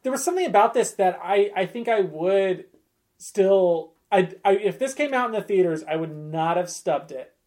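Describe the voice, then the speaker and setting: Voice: medium pitch, lively intonation, normal speed, a little mellow. A podcast panelist speaks in an informal, conversational way. The talk is casual discussion, as among people reviewing trailers together.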